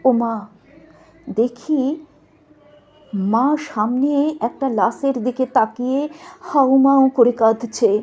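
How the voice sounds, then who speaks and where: Woman voicing a grieving young woman's ghost, slow, high, tearful, pleading. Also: steady tone, darkish tone, dull audio. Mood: bewildered grief. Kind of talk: dramatic recitation.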